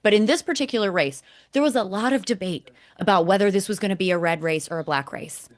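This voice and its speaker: A woman's voice: medium pitch, fast talker. A woman co-hosting a motorsport podcast, giving emphatic, critical commentary and explaining point by point. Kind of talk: opinionated podcast commentary on race broadcasts.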